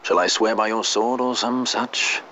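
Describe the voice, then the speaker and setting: A man's voice: lowish voice, fairly even intonation, normal speed, sharp tone. A man playing a suave, cynical sky pirate in a fantasy video game, wry, nonchalant and a touch theatrical. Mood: wry, cool. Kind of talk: video game drama acting. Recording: muffled game audio under clear music.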